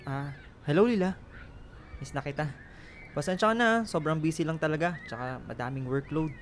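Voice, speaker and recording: Radio drama boyfriend, male actor, high-pitched, busy and defensive, quick to turn curt. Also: a little hiss or hum, natural voice. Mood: placating. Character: busy, defensive boyfriend absorbed in the family business. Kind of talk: radio drama acting in Tagalog.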